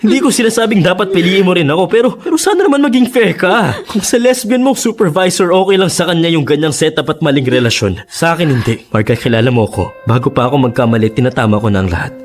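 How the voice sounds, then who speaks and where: An actor playing an earnest, hurt and principled man in a Tagalog radio drama, speaking in an emotional, halting way. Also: fairly high pitch, lightly acted. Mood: pained, resolute. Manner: emotional, pleading, halting delivery.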